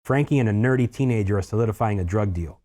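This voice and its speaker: Low-voiced man narrating a screenplay. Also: fast, mellow. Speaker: narrator reading stage directions. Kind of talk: scripted narration at a screenplay table read.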